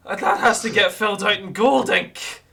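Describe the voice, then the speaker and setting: Male player voicing a harried bureaucrat who rambles through endless rules; keep it bright and fussy, voice chat sound. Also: high voice, moderate pace, gravelly, breathy edge, exaggerated voice. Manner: rambling, tangled in rules and forms. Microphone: online voice chat mic.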